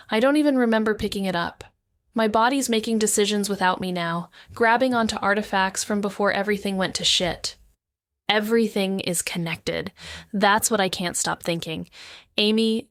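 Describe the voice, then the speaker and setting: An actress as a clear-voiced, wounded, sardonic young woman. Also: medium pitch, fairly bright tone, no room sound, clean studio sound, natural voice. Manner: wry, introspective, profane. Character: shaken, wounded, sardonic young woman, med-school dropout. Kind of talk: intimate first-person audio drama monologue.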